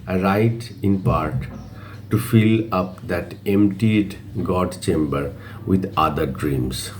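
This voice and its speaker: A man reads a literary essay aloud in a fairly low voice. His delivery is very slow and measured, breaking the sentences into short phrases with frequent pauses. A faint layer of noise sits behind him in a simple amateur recording. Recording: faint background noise, amateur video reading.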